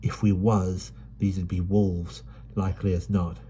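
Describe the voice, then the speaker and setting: A man's voice: low voice, level tone, measured pace, mellow, slight background noise. A man voicing a plucky, chatty London street girl with broad cockney-style dialect in an audiobook. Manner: bold, chatty, upbeat. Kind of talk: audiobook character voice.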